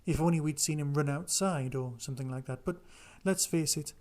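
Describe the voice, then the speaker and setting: A man presents his podcast in a casual, conversational style, talking through news and reviews on his own. His voice sits a little higher than average for a man. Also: brisk, a little mellow. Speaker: podcast host. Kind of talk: casual solo podcast review and listener chat.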